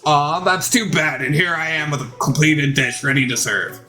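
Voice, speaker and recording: A man's voice: a little high, normal speed, pushed and bright, faint music behind. Man putting on smug, sugary female chef rival. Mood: smug, flirty. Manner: sugary, boastful.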